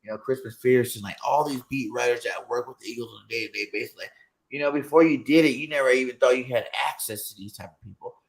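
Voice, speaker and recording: A man's voice: medium pitch, quick pace, poor audio. A man who is a guest on an interview show, talking casually and animatedly with lots of "you know what I'm saying" asides. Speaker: guest content creator.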